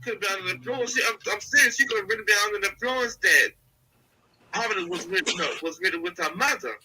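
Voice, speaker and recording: A woman calling in to a live show, congested and soft-spoken, talking hesitantly with many fillers. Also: medium pitch, fast talker, slight background noise, rough recording. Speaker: caller. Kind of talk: casual phone-in chat.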